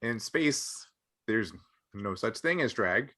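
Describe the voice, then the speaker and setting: A man's voice: lowish voice, expressive, takes it slowly, sharp tone. A man co-hosting a podcast, chatting casually and giving opinionated, nerdy takes with hesitant, wandering phrasing. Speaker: podcast co-host. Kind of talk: casual panel chat about a sci-fi show.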